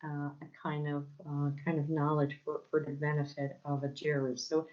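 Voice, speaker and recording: A woman's voice: low voice, completely flat delivery, normal speed, dull audio. A woman with a Polish accent, whose first language is Polish, giving an academic lecture with many fillers and restarts. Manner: hesitant, many fillers and repeated words. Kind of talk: academic conference talk.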